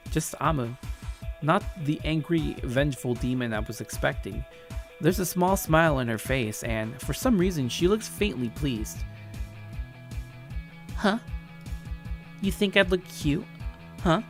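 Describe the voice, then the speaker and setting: A man reading a story aloud in a casual way, stumbling over words and correcting himself. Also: mid-range voice. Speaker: video maker reading aloud a story game. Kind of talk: casual read-aloud narration with asides.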